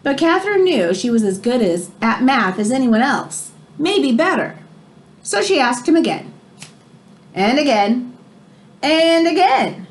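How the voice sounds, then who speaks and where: A woman's voice: high voice, sing-song, a little slow. A woman teacher reading a children's nonfiction book aloud, explaining as she goes and stressing key phrases. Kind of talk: expressive read-aloud of a children's nonfiction book with brief asides.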